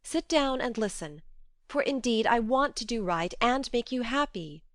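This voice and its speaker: A woman playing an earnest, strong-willed young woman, speaking gently but firmly in a slightly raised, smooth voice. Her tone is close and dry. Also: on the high side, expressive, moderate pace, no echo, voice pushed up. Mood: reasoning. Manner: earnest, reasoning, gently firm.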